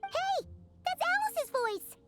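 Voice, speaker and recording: Tiny fairy sidekick, video game, extremely high pitch, childlike, excitable, animated intonation. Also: female voice, takes it slowly, soft background music, exaggerated voice. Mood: surprised. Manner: bubbly, speaks of self in third person. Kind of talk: video game character voice acting.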